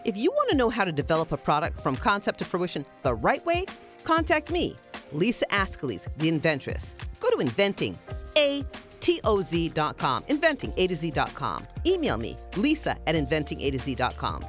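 A woman's voice: lowish voice, huge pitch swings, a little fast, soft background music. A mature woman reading a scripted radio advertisement for her own business. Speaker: inventor, business owner.

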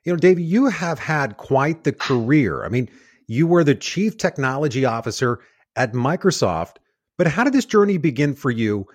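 Lively man hosting a podcast. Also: mid-range voice, normal speed, slightly rough. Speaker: podcast host.

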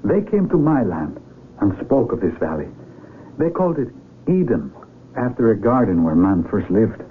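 Animated, low-voiced earnest stranger. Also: male voice, normal speed. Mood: insistent, pleading. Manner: emphatic, earnest, dramatic delivery. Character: earnest male outsider stranded among isolated villagers. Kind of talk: old-time radio drama acting. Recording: tinny radio broadcast with faint noise.

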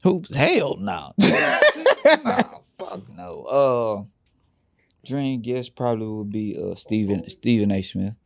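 Gravelly, low American man on a podcast. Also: big pitch swings, slow, dry recording. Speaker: podcast co-host. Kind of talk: casual chat.

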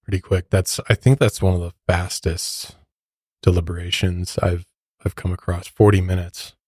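A man's voice: low voice, level tone, moderate pace, darkish tone, slightly rough, no room sound, studio quality. A man with an American accent casually discussing true crime as a podcast co-host.

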